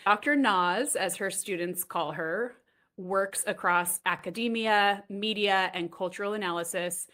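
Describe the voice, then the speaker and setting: An upbeat woman hosting a podcast. She reads her scripted introduction in an even tone, then moves into interview questions. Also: lowish voice. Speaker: podcast host.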